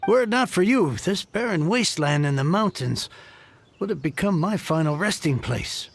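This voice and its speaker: A man's voice: sits high, animated, moderate pace, vocal fry, exaggerated voice. A man voicing an earnest heir of a fallen noble family in a video game, formal and effusive. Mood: solemn. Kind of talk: video game character acting.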